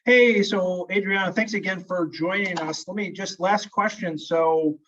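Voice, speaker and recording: Male attorney host, somewhat high voice, set back from the mic, dull sound; chatty and halting. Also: fairly bright tone, far from the mic, slight background noise. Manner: rambling, stop-start, friendly. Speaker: family law attorney host. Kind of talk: casual interview conversation. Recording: muffled audio from off-mic.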